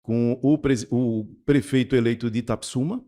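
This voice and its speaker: A man with a medium-pitched voice co-hosts a radio interview. He recaps recent events and then builds up to his question for the guest. His delivery is conversational and orderly. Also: fairly even intonation, moderate pace, mellow. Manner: framing questions, recapping news. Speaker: radio co-host.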